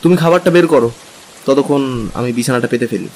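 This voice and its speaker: An actor playing an easygoing young husband, practical and curious, in a conversational, questioning style for an audio drama. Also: male voice, mid-range voice, a little fast, a little mellow, slight background noise, close to an everyday voice, low-quality recording. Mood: casual. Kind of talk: dramatized Bengali audio story. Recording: music and rain behind.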